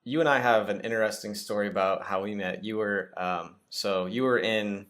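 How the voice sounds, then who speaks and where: A man's voice: lowish voice, normal speed, a bit bright. A man in his twenties hosting a podcast, chatting upbeat and casually with his guest and telling stories. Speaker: podcast host.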